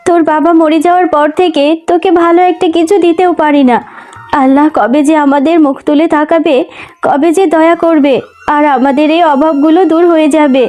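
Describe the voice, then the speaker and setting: A woman's voice: high voice, steady tone, fairly quick, darkish tone, lightly acted. A woman voicing a poor, sorrowful widowed mother fox, plaintive and pleading, in a children's cartoon story. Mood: sorrowful, worried. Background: music.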